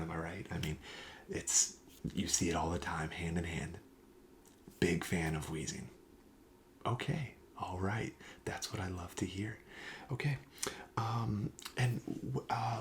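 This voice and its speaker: A man playing a friendly, reassuring card shop clerk in a soft-spoken ASMR roleplay, breathy and often near a whisper, with casual, hesitant sales patter. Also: sits low, slight background noise.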